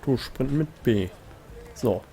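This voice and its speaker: A man's voice: medium pitch, animated, slow pace, mellow. A man giving casual, laid-back commentary as he plays a video game, muttering dry reactions. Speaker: let's play host. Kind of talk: casual gameplay commentary in German.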